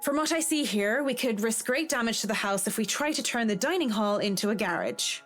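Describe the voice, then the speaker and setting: An actress voicing a knowledgeable female architect in a video game, explaining things in a measured, matter-of-fact way. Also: a little high, lively intonation, faint music behind, close to an everyday voice.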